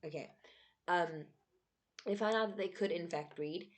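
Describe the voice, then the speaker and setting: A woman's voice: fairly low pitch, measured pace. A young South African woman, not a native English speaker, giving earnest unscripted commentary to camera, often checking in with "right?" between points. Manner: earnest, emphatic, with frequent "um" and "right?". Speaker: vlogger.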